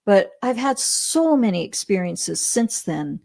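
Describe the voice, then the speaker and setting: A middle-aged Canadian woman telling her story as an interview guest, animated, often laughing, with many restarts. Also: mid-range voice, a little slow, gravelly, no room sound. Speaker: podcast guest.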